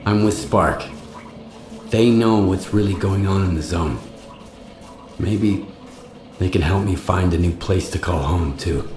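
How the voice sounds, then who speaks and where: An actor playing a guarded, determined wanderer hero in a video game, blunt and questioning. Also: male voice, deep voice, unhurried, long pauses, darkish tone, reverberant, faint music behind. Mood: defiant, guarded. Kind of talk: video game cutscene acting.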